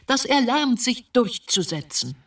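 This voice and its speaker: A woman's voice: fairly high pitch, big pitch swings, unhurried, husky, distant mic, full character voice. An actress playing a stern, reproachful, domineering mother of a grown son in a radio drama. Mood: defensive, scornful. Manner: severe, accusing.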